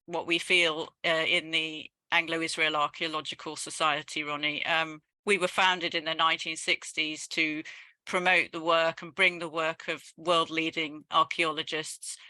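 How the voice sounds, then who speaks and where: Bright-voiced woman hosting a Q&A. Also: sits low, level tone, measured pace, no room sound. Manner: polite, formal thanks. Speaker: host of the society's lecture. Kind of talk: moderating a Q&A. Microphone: headset on a video call.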